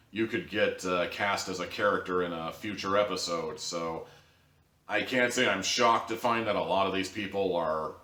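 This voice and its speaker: Low-voiced man in an echoey room. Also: a bit bright, recorded from a distance. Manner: sarcastic, blunt, swearing. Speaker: online audio drama reviewer. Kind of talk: casual opinionated review commentary.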